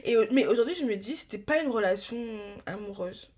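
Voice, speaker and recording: A woman's voice: on the high side, expressive, a little fast, darkish tone, husky. A woman in her thirties hosting a podcast, chatting casually in a slangy, direct and teasing way. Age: 30s. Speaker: podcast host. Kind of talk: casual chat.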